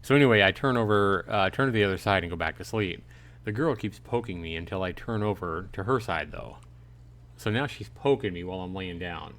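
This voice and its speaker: A man's voice: low voice, brisk, fairly bright tone. A man streaming a visual novel, casually reading the text aloud and chatting jokily with his viewers. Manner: chatty, jokey, talks back to chat.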